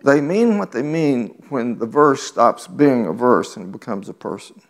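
A man's voice: medium pitch, animated, unhurried. A man preaching a sermon in a teasing, conversational way, reading scripture and talking directly to the congregation. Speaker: pastor.

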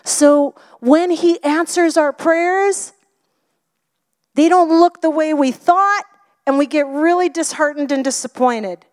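A woman delivering a sermon in a high, light voice. Her delivery is expressive and often sinks to a near whisper, then lifts again. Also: slow. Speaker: preacher.

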